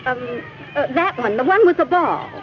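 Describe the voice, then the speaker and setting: An American actress playing a patient, instructive female mentor in a 1950s educational film, explaining in a measured, didactic way. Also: sits high, lively intonation, slight background noise. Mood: gently probing. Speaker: teacher or counselor in an educational film. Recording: muffled old 1950s film soundtrack.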